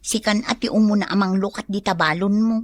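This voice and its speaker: A woman's voice: mid-range voice, steady tone, brisk, no room sound, close to an everyday voice. An actress playing a broke young working woman in an Ilocano comedy radio drama, chatty, exclamatory and whiny. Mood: pleading, bantering.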